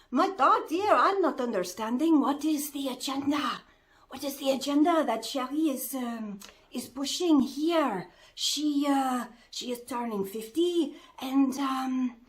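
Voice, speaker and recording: A woman voicing a chatty, good-natured fashion sidekick, speaking haltingly with singsong phrasing. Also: high voice, animated. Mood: puzzled. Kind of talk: playful in-character vlog chat.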